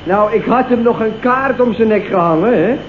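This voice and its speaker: A man's voice: high voice, moderate pace, close to an everyday voice. Man acting a cheeky lad, tinny old radio. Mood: aggrieved, cheeky. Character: cheeky, aggrieved young male troublemaker. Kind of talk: 1970s Dutch radio comedy acting. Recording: tinny, noisy, echoey old radio recording.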